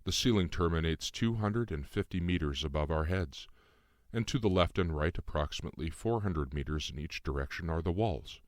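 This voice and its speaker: Audiobook role, male reader: composed otherworldly intellect, low-pitched, formal and exacting, dry close sound. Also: a little fast, no room sound, natural voice. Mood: explanatory. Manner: formal, precise, composed, didactic. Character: Lucien, calm calculating otherworldly being in young man's form. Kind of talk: audiobook dialogue.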